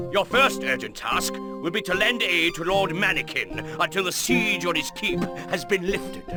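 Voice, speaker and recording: Stern, high-pitched-enough noble commander. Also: male voice. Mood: formal, resolute. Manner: formal, authoritative, measured. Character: stern noble male rebel lord briefing his commander. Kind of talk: game mission briefing. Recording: music under the voice.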